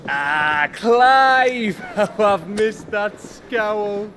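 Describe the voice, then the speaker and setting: An actor playing a cheeky, irreverent and loyal scout in a fantasy game, with a jovial, teasing, colloquial manner. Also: male voice, high-pitched, expressive, drawn-out words, fairly bright tone, a little hiss or hum, heavily acted. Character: cheeky, irreverent scout, loyal old pal named Gav. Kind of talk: dramatic fantasy game dialogue.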